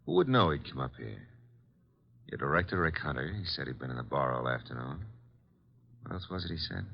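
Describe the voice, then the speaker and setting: An actor playing a brisk, wisecracking American detective's assistant in an old-time radio drama. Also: male voice, rumbling low, sing-song, gravelly, exaggerated voice. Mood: hard-boiled. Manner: breezy, hard-boiled, questioning. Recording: dull, lo-fi old radio recording.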